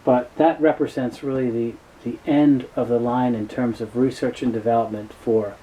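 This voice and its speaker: A man giving an unscripted informational product explainer, speaking in a halting way and often repeating words. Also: mid-range voice, fairly even intonation, mellow, a little hiss or hum, low-quality recording. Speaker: tape dealer and presenter.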